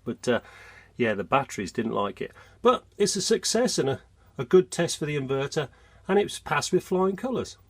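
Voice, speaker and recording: A man's voice: a little high, big pitch swings, moderate pace, husky. A man with a blocked nose from a cold, chatting through a step-by-step DIY job on his narrowboat. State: congested with a cold. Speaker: vlogger. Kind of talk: casual DIY vlog.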